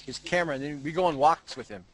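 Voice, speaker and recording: A man's voice: a little high, fast talker, a bit bright, slight background noise. A man hosting a casual group video call, rambling and joking with many restarts. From United States. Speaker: host.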